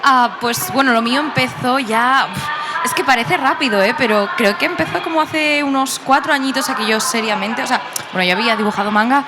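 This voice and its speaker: A woman's voice: fairly high pitch, fairly quick, very noisy. A woman who is a manga artist guest, talking enthusiastically and laughing easily in a casual interview. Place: busy convention hall.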